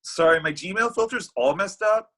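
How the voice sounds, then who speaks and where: A man's voice: high-pitched, normal speed, bright, forceful tone. A man playing Cupid in a comedy table read, a bitter, heartbroken matchmaker god who gets defensive and exasperated. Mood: sheepish, sarcastic. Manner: exasperated, indignant, stammering.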